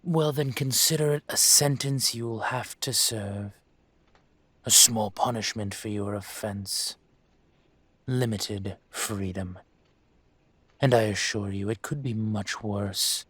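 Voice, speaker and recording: A man playing a dragon mafia boss in an audio roleplay, speaking with measured, condescending menace and smug control. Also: on the low side, a bit bright, husky, no room sound, full character voice. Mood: stern, condescending. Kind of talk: scripted roleplay monologue to the listener.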